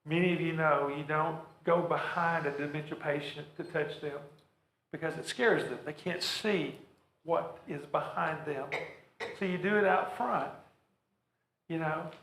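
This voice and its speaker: A man's voice: a little high, measured pace, a bit bright. A man presenting a church workshop to an audience, talking earnestly and stumbling over repeated words. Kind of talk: practical talk to a church audience. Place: church hall. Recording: roomy echoing hall.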